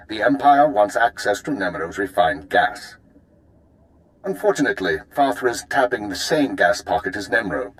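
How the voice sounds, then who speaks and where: Man playing a low-voiced, formal intelligence handler. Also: close to an everyday voice, rough recording. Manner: formal, measured, precise. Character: composed male intelligence handler, formal and exacting. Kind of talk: video game mission briefing.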